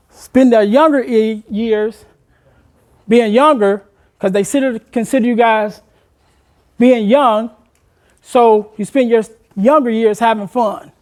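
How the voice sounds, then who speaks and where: A man, a motivational speaker addressing a room of high school students, asking them questions and urging them to take part. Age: 40s. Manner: engaging the audience with questions, conversational and encouraging.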